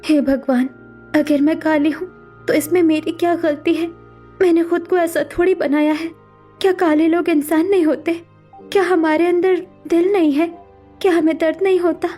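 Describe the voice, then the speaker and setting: Woman acting a very high-pitched, plaintive young daughter. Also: steady tone, normal speed, dark tone. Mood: tearful, hurt. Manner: plaintive, pleading. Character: sad, wronged young daughter, meek and pleading. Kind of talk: melodramatic cartoon story acting. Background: music.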